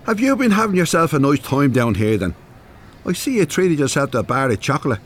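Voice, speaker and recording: Cheeky old Irish gent, animated. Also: male voice, a little high, brisk, loud music behind the voice. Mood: teasing, boastful. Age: older. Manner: wry, bantering. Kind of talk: naturalistic radio drama acting.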